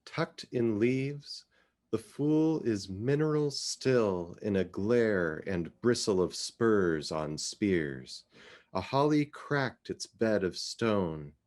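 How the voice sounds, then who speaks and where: Slow, animated man reciting poetry. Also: lowish voice. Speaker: reader of poetry.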